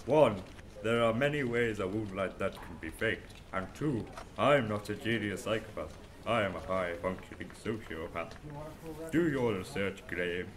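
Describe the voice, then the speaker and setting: A man's voice: lowish voice, animated, normal speed, slight background noise, lightly acted. A man playing an arrogant, coolly analytical male detective in an amateur audio drama, speaking in a superior, matter-of-fact way. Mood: irritated, correcting.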